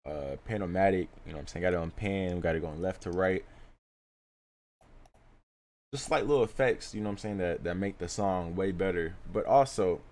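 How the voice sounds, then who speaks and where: A man's voice: fairly low pitch, darkish tone. Laid-back young man explaining mixing. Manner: laid-back, filler-heavy, keeps saying "you know what I'm saying". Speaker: music producer teaching vocal mixing. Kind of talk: casual screen-recorded tutorial.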